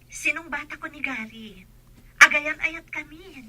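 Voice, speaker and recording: A woman's voice: high voice, a little slow, sharp tone, heavily acted. A woman playing a young friend sharing confidences in an Ilocano audio drama, with an Ilocano accent. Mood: confiding.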